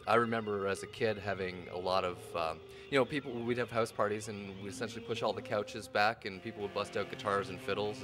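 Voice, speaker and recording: A male bassist from Prince Edward Island, Canada, describes where he grew up in a reflective, descriptive way. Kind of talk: casual interview answers. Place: music club.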